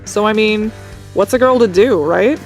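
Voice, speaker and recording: A woman's voice: mid-range voice, moderate pace, faint music behind, lightly acted, rough recording. A woman playing a sarcastic teenage girl whose date stood her up, with a drawling manner.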